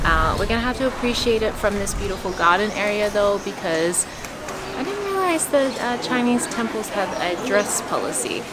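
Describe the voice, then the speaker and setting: A woman talking casually to the camera as a travel vlogger, chatty and quick to laugh, walking outdoors between sights. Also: medium pitch, sing-song, heavy background noise. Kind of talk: casual travel vlog narration. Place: outdoors on town streets and at sights. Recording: loud music bed over the voice. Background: loud background music.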